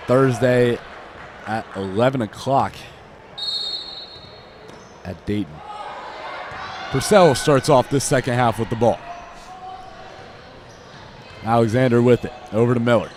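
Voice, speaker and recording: A young American man doing live basketball play-by-play in clipped phrases that follow the action. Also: medium pitch. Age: young adult. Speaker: play-by-play commentator. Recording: live gymnasium commentary over loud court noise. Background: basketball bounces and gym noise.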